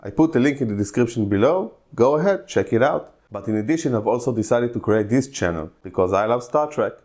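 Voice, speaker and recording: Warm-voiced Israeli man chatting. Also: medium pitch, expressive, brisk. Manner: chatty, many "you know" fillers, emphatic repeats. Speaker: channel host. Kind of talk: casual opinion monologue.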